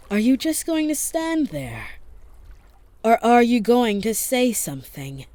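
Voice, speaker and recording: A woman voice acting a haughty, menacing empress who speaks in a measured, taunting way for an ASMR roleplay. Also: lowish voice, a little mellow, a little hiss or hum. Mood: haughty, challenging. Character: menacing, imperious empress commanding a war fleet.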